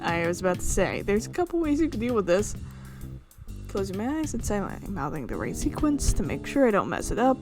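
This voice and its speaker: A woman narrates a story game aloud in a mid-pitched voice. She reads with some drama and drops in casual asides of her own. Game music plays behind her. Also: big pitch swings, brisk. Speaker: let's player reading a visual novel aloud.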